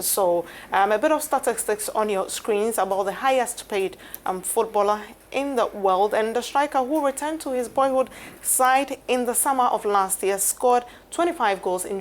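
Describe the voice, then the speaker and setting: Female TV sports presenter, medium pitch, chatty live delivery with pauses, light noise behind. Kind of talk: live sports news segment with studio chat. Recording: steady background noise.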